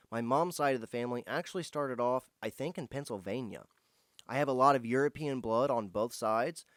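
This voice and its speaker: A man's voice: medium pitch, clear, resonant tone, no echo, studio quality. A man speaking in an earnest, easygoing way as he answers viewer questions in a casual vlog. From United States, Indiana. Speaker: host of a foraging and herbal channel.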